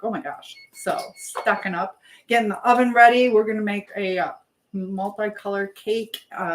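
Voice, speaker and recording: A woman hosting a live stream in a casual, rambling chat, drifting between topics as she keeps busy with chores. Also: medium pitch.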